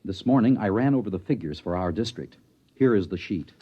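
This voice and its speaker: Lively, anxious statistician, old radio. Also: male voice, fairly low pitch, a little fast, slightly rough, muffled recording. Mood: agitated. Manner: earnest, precise, flustered, stammering when upset. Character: anxious, by-the-book male statistician in a regimented future society. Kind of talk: old-time radio drama acting and first-person narration. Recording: band-limited vintage radio with music.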